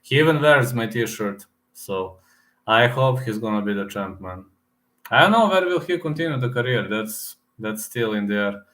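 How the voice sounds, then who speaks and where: A man's voice: on the low side, lively intonation, normal speed, fairly bright tone. Serbian-accented man chatting on a livestream. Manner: talks straight to chat, repeats words, many fillers. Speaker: livestream host reading chat. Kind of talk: casual live-stream chat about fight picks.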